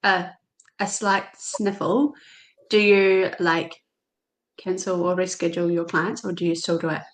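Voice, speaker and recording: A woman's voice: lowish voice, level tone, rough recording. Hesitant woman guest in casual chat. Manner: hesitant, halting questions. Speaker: podcast guest.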